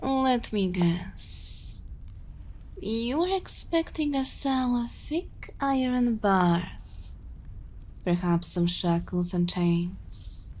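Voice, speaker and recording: An evil queen acted by a woman speaks extremely slowly and with total command. She is seductive and menacing with a motherly edge, often sinking into intimate whispers in an ASMR roleplay style. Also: on the high side, lively intonation, a little mellow, a little hiss or hum, low-quality recording. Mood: silky, amused. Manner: slow, deliberate, dominant, often dropping into whispers.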